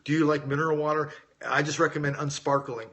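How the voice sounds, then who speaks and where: A man's voice: fairly high pitch, level tone, fast talker, a bit bright. A middle-aged American man in a casual live-stream chat, reading out viewer questions and answering them informally as a coach. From United States, grew up in Las Vegas. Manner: informal, conversational, addresses viewers directly. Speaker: weight-loss coach hosting a live Q&A.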